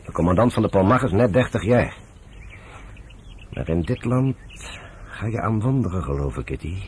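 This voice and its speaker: A man plays a very deep-voiced British general in a radio drama, sober and devout. His delivery is earnest and measured, yet swings widely in pitch. Faint birdsong and noise sit behind him. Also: lively intonation, a little mellow, close to an everyday voice. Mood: grave, emphatic. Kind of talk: Dutch radio drama acting.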